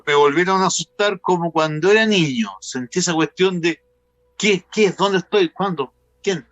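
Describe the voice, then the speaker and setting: A man's voice: medium pitch, a bit bright, no room sound, muffled recording. A man with a Chilean accent hosting a casual, conversational interview. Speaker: host interviewer.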